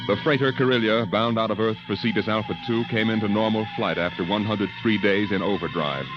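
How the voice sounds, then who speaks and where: An actor playing a solemn, portentous science fiction narrator, slow and measured, in 1950s radio style. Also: male voice, fairly low pitch, loud music behind the voice, lightly acted. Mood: solemn, ominous. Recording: dull old radio recording.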